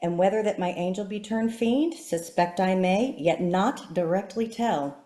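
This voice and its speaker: A woman briefly introduces herself, then reads a sonnet aloud in a clear, steady manner. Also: mid-range voice, measured pace. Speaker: poetry reader. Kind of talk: sonnet recitation. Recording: roomy echo.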